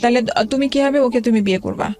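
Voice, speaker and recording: A woman in her forties telling her life story as an interview guest, halting and hesitant, with many fillers and restarts. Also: fairly high pitch.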